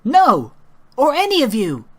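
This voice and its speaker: A man voicing a young adult female pegasus pony, loud, distressed and defiant in a story reading. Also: very high voice, huge pitch swings, measured pace, breathy edge, pitched above the performer's own voice, exaggerated voice. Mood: alarmed, defiant.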